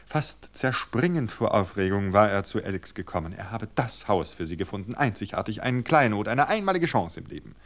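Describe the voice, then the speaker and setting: A man's voice: on the low side, sing-song, fairly quick, few pauses. A man reading a literary story as a radio narrator, in scripted narration.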